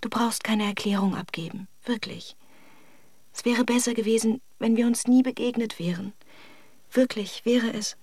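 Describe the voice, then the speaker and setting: Emotional, higher-pitched married Russian woman. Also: normal speed, a little mellow, husky. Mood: sorrowful, tender. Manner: theatrical, emotionally volatile, effusive. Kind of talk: German radio drama acting.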